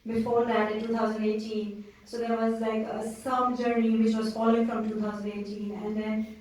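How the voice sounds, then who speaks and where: A woman's voice: a little high, monotone, darkish tone, recorded from a distance, slight background noise. Earnest woman in an echoing hall. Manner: earnest, measured, reflective. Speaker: actress on a panel. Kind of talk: festival Q&A answer. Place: cinema auditorium. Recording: roomy hall, mic from afar.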